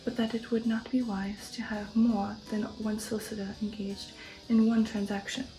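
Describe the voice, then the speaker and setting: Soft, mellow woman reading in ASMR style. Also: medium pitch, little pitch movement, moderate pace, soft background music, rough recording. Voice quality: soft, partly whispered. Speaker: narrator reading a novel aloud. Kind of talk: ASMR audiobook narration.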